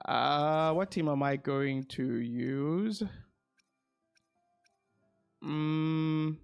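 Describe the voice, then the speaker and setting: A man's voice: on the high side, drawn-out words, gravelly, clean tone, soft background music. A man chatting casually on a game livestream, thinking aloud and repeating his phrases as he goes. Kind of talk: casual livestream chat while gaming.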